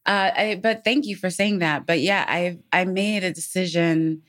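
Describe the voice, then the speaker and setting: Low-voiced woman chatting candidly. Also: moderate pace, fairly bright tone. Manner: informal, frequent "like" and "you know what I'm saying". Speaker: podcast co-host. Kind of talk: candid personal storytelling, casual chat.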